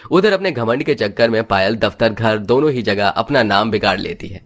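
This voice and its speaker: A man's voice: lowish voice, expressive, moderate pace. A man narrating a scripted moral story in a dramatic storytelling style.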